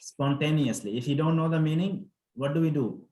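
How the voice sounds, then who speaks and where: A man's voice: on the high side, a little fast, warm tone. A man coaching students for an English test, instructively correcting pronunciation and repeating words for emphasis. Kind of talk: interactive lesson, correcting pronunciation. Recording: echoey room sound.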